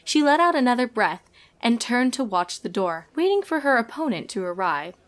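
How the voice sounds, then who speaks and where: A woman reading scripted story narration in a measured, expressive storytelling manner. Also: a little high. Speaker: fan fiction reader and narrator.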